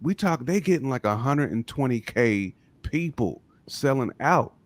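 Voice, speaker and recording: A man's voice: medium pitch, lively intonation, unhurried, dry recording. An American man in a casual, opinionated podcast discussion, speaking emphatically and repeating his points. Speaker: music podcast panelist.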